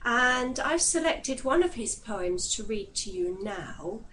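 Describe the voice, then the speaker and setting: Slow, expressive woman reciting poetry. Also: fairly high pitch, poor audio. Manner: deliberate, expressive, with dramatic pauses. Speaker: poetry reader. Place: living room, seated in armchair. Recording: clear background room noise.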